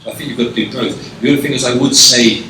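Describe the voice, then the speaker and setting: A man giving a conference presentation and taking questions, measured and prone to restarts, recorded from a distance in a conference hall. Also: mid-range voice, steady tone, background noise. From England. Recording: echoey hall, distant mic.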